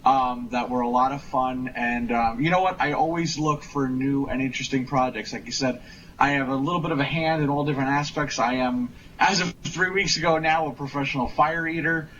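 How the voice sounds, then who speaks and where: A man of average pitch chats casually as a guest performer. His voice sits away from the mic on a dull remote line, with faint noise in the recording. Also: steady tone, brisk, fairly bright tone. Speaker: guest performer and sound effects provider. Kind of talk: casual interview chat. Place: remote live call. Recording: distant, dull, faintly noisy call.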